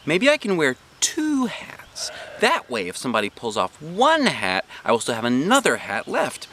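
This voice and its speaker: A man's voice: fairly high pitch, huge pitch swings, moderate pace, a bit bright, a little hiss or hum, voice pushed up. A man voicing a dramatic, anxious, stubborn little schoolgirl in a storybook reading, with emphatic childlike phrasing. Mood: earnest scheming.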